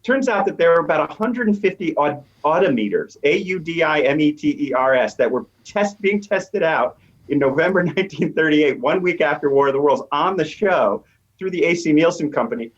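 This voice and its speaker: A man's voice: fairly high pitch, lively intonation, a little fast. A man explaining research as an academic guest in an interview, eager and emphatic, on lo-fi audio. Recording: dull, lo-fi remote audio.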